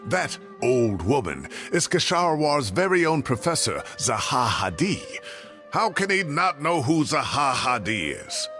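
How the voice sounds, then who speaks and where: Fussy retired professor, elderly and indignant; bright, forceful delivery with huge pitch swings, game dialogue over music. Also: male voice, very animated, measured pace. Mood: exasperated. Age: older. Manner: exclamatory, blustering, dramatic. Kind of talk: video game voice acting.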